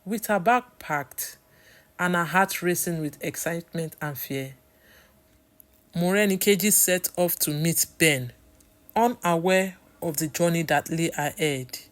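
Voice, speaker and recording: A woman's voice: fairly low pitch, a little slow. A woman with a Nigerian accent reading a scripted story as its storyteller.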